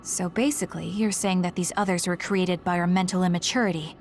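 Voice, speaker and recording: A woman's voice: fairly low pitch, fairly even intonation, fairly quick, slight background noise, close to an everyday voice. A woman playing a cool, resolute young female fighter in an English game dub, with music behind her.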